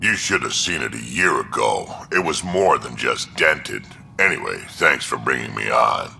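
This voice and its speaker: An actor playing a gruff, hearty old Krogan alien in a video game, boisterous and blunt, with a deep gravelly voice. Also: male voice, expressive, moderate pace, bright, forceful tone, slight background noise, exaggerated voice. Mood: hearty, fond.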